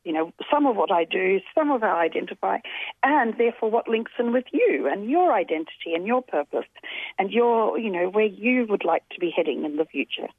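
A woman's voice: medium pitch, animated, a little fast, slightly rough, dry recording. A woman in her forties, a guest talking thoughtfully with many fillers and restarts, over a call. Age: 40s. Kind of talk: reflective interview talk. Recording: tinny phone-like line, dry.

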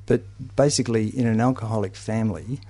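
A man's voice: on the low side, fast, mellow. A man hosting a conversational discussion, sharing his own thoughts and putting questions to guests. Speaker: host leading a discussion.

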